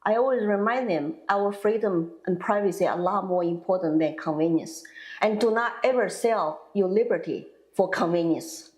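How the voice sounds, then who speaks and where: A woman's voice: mid-range voice, moderate pace, darkish tone, rough recording. A woman from China with a Chinese accent, answering an interview question in a campaign video and stating her positions firmly and plainly. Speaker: congressional candidate.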